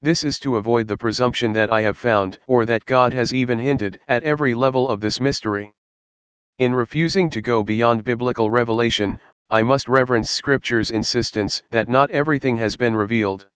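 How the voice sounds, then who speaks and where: A man reading a scripted Bible study in a measured, earnest, explanatory way. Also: medium pitch, smooth, no room sound. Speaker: Bible teacher.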